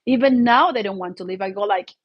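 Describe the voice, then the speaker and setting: A woman's voice: mid-range voice, fast talker, lo-fi sound. A woman from Mexico with a Mexican accent and Spanish as her first language hosts an informal solo vlog, talking in a rambling, conversational way and often checking for agreement.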